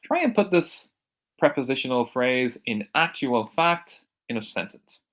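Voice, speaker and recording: A man from Ireland with an American-sounding accent teaching English vocabulary, repeating each word slowly and clearly for learners. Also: medium pitch, big pitch swings, fairly bright tone. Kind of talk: recorded vocabulary lesson.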